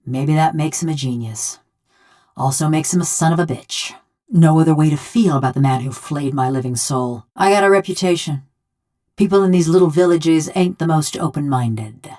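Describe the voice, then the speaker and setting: A woman's voice: sits low, a little mellow. An actress playing a wry, blunt, vengeful woman killer whose soul lives in a bronze body, speaking in a folksy rustic drawl for a video game. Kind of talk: video game character dialogue.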